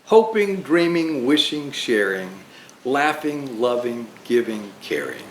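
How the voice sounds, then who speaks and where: Slow, sing-song man reading aloud. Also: mid-range voice, slight background noise. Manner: slow, expressive rhyming read-aloud. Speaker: storyteller playing Santa. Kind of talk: reading picture books aloud. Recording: roomy echo.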